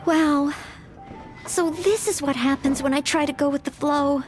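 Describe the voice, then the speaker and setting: A woman's voice: sits high, fairly even intonation, unhurried, soft background music. A woman playing an earnest, polite young maid knight in a video game, speaking formally and a little hesitantly, eager to help. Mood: musing, earnest. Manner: formal, courteous, hesitant phrasing. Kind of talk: scripted anime-style video game acting.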